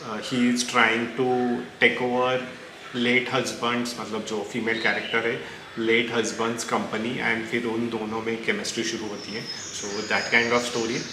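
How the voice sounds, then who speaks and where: A man with an Indian accent chatting casually and opinionatedly about books, rambling and mixing Hindi into English. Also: medium pitch. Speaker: book reviewer reacting to recommendations. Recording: echoey room with clear background noise.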